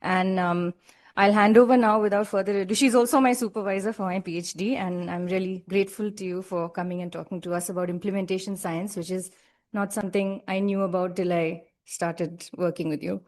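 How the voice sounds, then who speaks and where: A woman's voice: medium pitch, steady tone, a little fast, a little mellow. Casting: young woman, student introducing a guest, hesitant, filler-heavy. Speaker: PhD student introducing the speaker. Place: lecture room.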